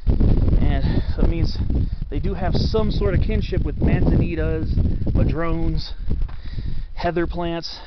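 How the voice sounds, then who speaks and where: A man's voice: on the high side, steady tone, slow, lo-fi sound, heavy background noise. A man from Southern California narrating casually as he hikes a forest trail, pointing out trees, plants and ridges, tired from the heat. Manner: rambling, informative, names plants and landmarks. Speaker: hiking vlogger. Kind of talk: casual narration while hiking. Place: outdoors on a forest mountain trail. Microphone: body-mounted action camera.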